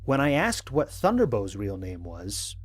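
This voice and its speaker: A man playing a wry human astronaut captain in an audio drama, speaking in a dry, measured way. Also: on the low side, wildly swooping pitch, heavily acted. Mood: wry, reflective. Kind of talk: audio drama narration as log entries.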